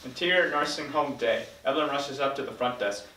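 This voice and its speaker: A man's voice: mid-range voice, a little fast, sharp tone, a little hiss or hum. A man reading stage directions as the narrator in a scripted table read. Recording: roomy recording, mic at a distance.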